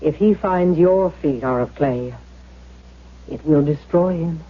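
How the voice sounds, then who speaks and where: A woman's voice: low-pitched, slow, noisy background. An actress playing an earnest, grave woman in a 1970s radio drama, speaking with solemn theatrical intensity. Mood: solemn warning. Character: earnest, grave woman gently warning her companion. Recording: tinny phone-like 1970s radio broadcast.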